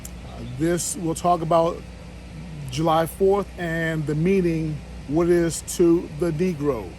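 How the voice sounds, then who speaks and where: A man hosts the show and opens it with a plain, sincere introduction. His voice sits a little high for a man, and he pauses now and then. A faint noise runs underneath. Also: steady tone, darkish tone. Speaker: host.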